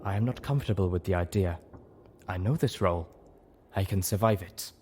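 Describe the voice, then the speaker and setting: A man voicing a scarred zebra mare, a weary former slave, speaking low and heavy in grave, halting phrases. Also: darkish tone, breathy edge. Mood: sorrowful. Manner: grave, halting short commands.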